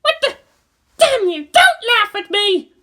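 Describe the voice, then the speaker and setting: Shrill, high-pitched fiery teenage girl pilot. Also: sing-song, measured pace, a bit bright, full character voice. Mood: furious. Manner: shrill, combative, emphatic.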